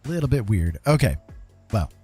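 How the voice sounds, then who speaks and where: Low-voiced man streaming a game. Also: sing-song, moderate pace, warm tone, breathy edge, faint music behind. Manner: chatty, thinking aloud. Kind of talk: casual live gameplay commentary.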